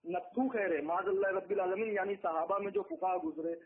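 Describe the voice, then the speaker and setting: A man's voice: high voice, fairly quick, slight background noise. A man making emphatic accusations in a heated religious debate over a phone call, repeating his points. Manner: accusatory, argumentative, emphatic repetition. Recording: tinny phone conference line.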